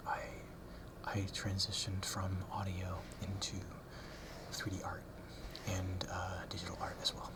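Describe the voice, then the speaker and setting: A man whispering a rambling ASMR talk close to the mic, softly repeating words as triggers, with ums and small nervous laughs. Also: low-pitched, monotone, unhurried, very noisy. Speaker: ASMR creator. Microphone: close ASMR mic, brushed.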